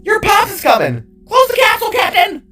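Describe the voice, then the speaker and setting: A very high-pitched, swooping voice for a chatty little cube creature. It is a playful, teasing sidekick from a fantasy audio story. The talk is wordy and excitable, with music behind it. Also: male voice, a little fast, recorded from a distance, full character voice. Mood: panicked, urgent. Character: chatty playful talking cube companion, male.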